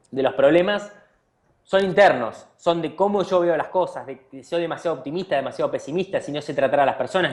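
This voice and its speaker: A man's voice: on the high side, darkish tone. A man from Argentina with an Argentine accent, a company founder answering interview questions with earnest advice and frequent restarts. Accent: Argentine Spanish. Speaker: startup CEO being interviewed.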